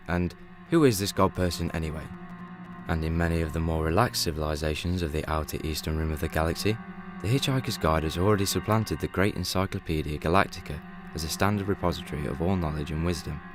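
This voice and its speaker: A young man playing a wry, all-knowing guidebook narrator in a radio play, with measured, deadpan delivery. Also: low-pitched, lightly acted. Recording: music bed under the voice.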